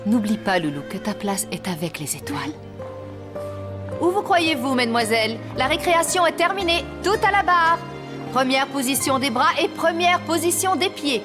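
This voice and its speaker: A refined female ballet mistress for a cartoon. Her voice sits fairly high and is precise and formal, demanding yet kind. Music plays clearly behind her. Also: expressive, moderate pace. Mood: stern, commanding. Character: elegant, exacting yet kindly female ballet teacher. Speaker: voice actor. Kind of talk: French animated series dubbing.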